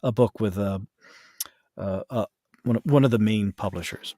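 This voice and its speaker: A man's voice: on the low side, normal speed, dark tone, no echo, clean studio sound. A man in a casual interview as a podcast guest, explaining things in a hesitant, self-correcting way. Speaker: podcast guest, voice actor and audiobook narrator.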